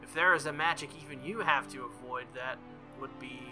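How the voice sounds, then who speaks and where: A man's voice: medium pitch, a little fast, sharp tone, faint music behind. A man voicing an earnest, hesitant teenage boy hero in a visual novel. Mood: puzzled.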